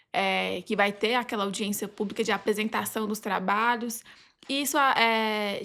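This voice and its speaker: A Brazilian woman speaking as a podcast guest, casually recounting experiences in informal, halting phrases. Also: a little high, fairly bright tone. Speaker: podcast guest, legal advisor. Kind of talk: casual anecdotal conversation.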